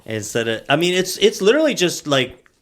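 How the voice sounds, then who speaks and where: A man's voice: sits high, wildly swooping pitch, fast. Jokey man bantering, often laughing. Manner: jokey, often laughing through his words. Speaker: podcast co-host. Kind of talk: casual comedic banter.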